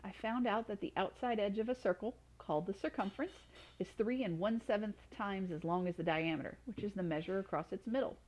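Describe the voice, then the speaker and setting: A woman's voice: medium pitch, brisk, darkish tone, natural voice. A woman plays a knight's young son from a storybook. He is earnest and clever, thinking aloud and exclaiming. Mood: proud.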